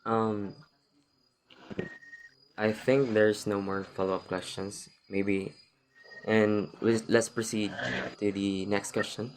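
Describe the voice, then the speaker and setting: A woman interviewer with an unusually deep voice and a very flat, steady delivery. She speaks slowly and politely, moving the talk along with short attentive acknowledgements. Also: slight background noise, low-quality recording. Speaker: student interviewer. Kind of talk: casual interview moderating.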